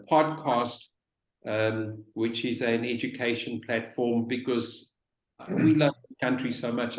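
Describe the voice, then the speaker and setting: Middle-aged man in an echoey room. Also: on the low side. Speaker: real estate business owner, panelist. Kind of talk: informal webinar presentation. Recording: roomy, echoing sound.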